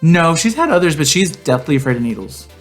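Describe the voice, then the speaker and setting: A man's voice: on the high side, big pitch swings, a little fast, soft background music. A man co-hosting a podcast with casual, chatty banter and a campy, sassy way of talking. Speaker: podcast co-host. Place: home garage set up as a studio.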